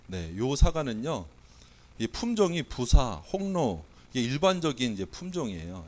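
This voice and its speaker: A Korean pastor delivers a sermon in a church with his voice weakened by a cold. He speaks at an ordinary pitch and keeps things playful, throwing quiz questions to the congregation and calling out their bids. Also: a little mellow. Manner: playful, quizzing and auctioning with the congregation. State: has a cold, voice not coming out well. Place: church sanctuary.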